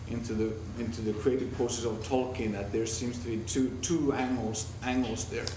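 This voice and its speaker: A man's voice: mid-range voice, level tone. Finnish-accented man lecturing from a distance. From Finland. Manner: halting, many restarts and repeated words. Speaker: guest lecturer, linguistics professor. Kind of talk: academic guest lecture. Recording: echoey room, distant mic, noisy.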